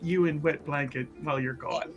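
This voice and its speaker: A woman's voice: deep voice, steady tone, moderate pace, lo-fi sound, over music. A woman playing a tabletop roleplaying game, teasing and playful, narrating her character and joking with the others. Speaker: player.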